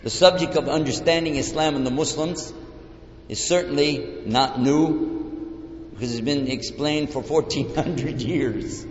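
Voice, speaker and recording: A man's voice: on the high side, unhurried, slight background noise. A man from Texas with a Texan accent, giving a joking, folksy talk to a live audience in a large hall. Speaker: lecturer. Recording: echoey hall, dull lo-fi sound.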